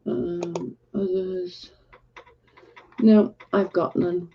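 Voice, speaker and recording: A woman's voice: mid-range voice, big pitch swings, drawn-out words, gravelly, muffled recording, a little hiss or hum. A Welsh woman hosting a casual livestream, talking bluntly and with exasperation to her chat. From Wales. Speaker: livestream host.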